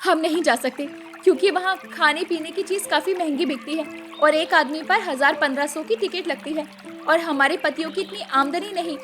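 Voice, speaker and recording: A woman's voice: sits high, moderate pace, music in the background, lightly acted. A woman voicing a thrifty, practical city-bred daughter-in-law in a story cartoon, earnest and explanatory. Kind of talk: animated moral-story cartoon dialogue.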